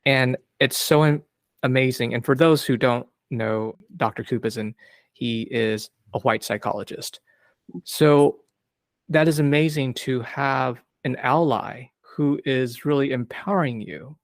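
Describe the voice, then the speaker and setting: Guy hosting a podcast interview, supportive and reflective, medium pitch, dry close sound. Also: a little slow, dry recording. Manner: reflective, supportive, asks open questions. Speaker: podcast host interviewing a psychologist. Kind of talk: interview conversation.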